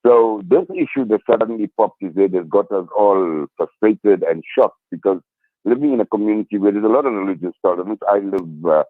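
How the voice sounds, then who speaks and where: A man's voice: lowish voice, fairly quick, dry recording. A man speaking earnestly as an interview guest over the phone, often repeating his words and phrases. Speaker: interview guest, local community representative. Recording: tinny narrow-band phone line.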